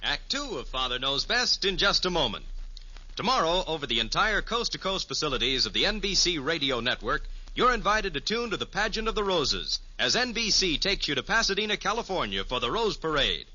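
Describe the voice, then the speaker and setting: Male network announcer, American, lively scripted 1950s delivery, crisp forceful edge, muffled old radio sound. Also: a little high, a little fast. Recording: dull old radio recording.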